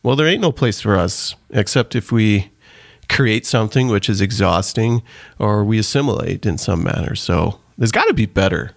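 A man's voice: lowish voice, expressive, normal speed, gravelly, no echo, clean studio sound. A man from Trinidad, an author and pastor, speaking earnestly as an interview guest and explaining his ideas at length. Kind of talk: interview conversation.

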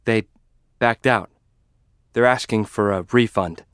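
A timid young lab assistant, as a man puts him on, speaking slowly and haltingly, afraid of his boss. His voice is smooth and clear, recorded dry and close in a studio audio drama. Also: fairly low pitch, a bit bright, no echo. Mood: hesitant. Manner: hesitant, halting. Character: nervous young male lab assistant, deferential.

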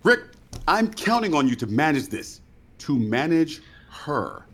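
An actor playing a dry, demanding producer in a comedy. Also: male voice, on the high side, very animated, measured pace. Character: anxious, cynical male Broadway producer.

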